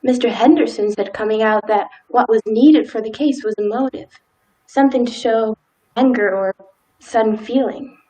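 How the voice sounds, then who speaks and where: A woman's voice: on the high side, moderate pace, mellow, natural voice, low-quality recording. A woman in a radio play acting a timid, dutiful, law-abiding small-town wife with hesitant, folksy old-fashioned speech. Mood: uneasy.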